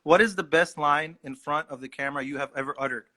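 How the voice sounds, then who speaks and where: A young man, an actor and native Urdu speaker, telling stories in a lively way during an interview. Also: a little high, fast talker. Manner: animated, acts out voices in his anecdotes. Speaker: actor, guest. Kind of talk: casual storytelling in an interview.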